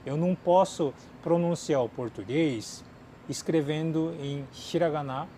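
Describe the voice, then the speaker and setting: A Japanese-born man speaking as a second language, casually answering viewer questions with lots of fillers, swinging from lively to quiet mumbling. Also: a little high, unhurried, a little hiss or hum. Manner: chatty, many fillers, drifts between lively and mumbling. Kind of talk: casual chat. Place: outdoors in a city.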